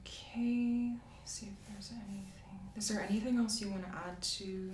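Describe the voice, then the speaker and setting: A woman speaks softly at a medium pitch, in the manner of an ASMR doctor. She is gentle and unhurried as she questions and instructs a patient. The room adds noticeable echo, and there is clear noise behind her. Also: steady tone. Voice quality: soft-spoken. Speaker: ASMR creator playing a doctor doing a medical exam. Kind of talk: soft-spoken ASMR medical roleplay. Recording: roomy echo, clear background hiss.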